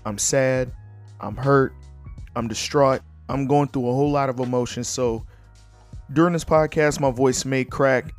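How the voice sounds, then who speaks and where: A man hosting his own podcast in a loose, blunt, joking way, his voice going hoarse. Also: mid-range voice, steady tone, unhurried, faint music behind. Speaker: podcast host. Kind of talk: casual solo podcast talk.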